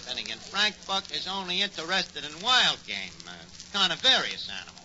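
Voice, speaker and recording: High-pitched, scheming New York tavern manager. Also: male voice, very animated, normal speed. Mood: cocky. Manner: slangy, ungrammatical, mock-grand. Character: scheming, wisecracking male tavern manager, malaprop-prone. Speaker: sitcom lead. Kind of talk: 1940s radio comedy acting. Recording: noisy, dull 1940s radio recording.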